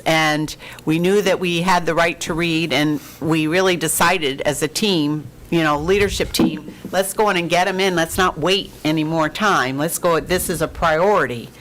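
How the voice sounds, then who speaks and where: A woman's voice: on the low side, steady tone, moderate pace, fairly bright tone, poor audio. Upbeat woman presenting at a meeting. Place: meeting room.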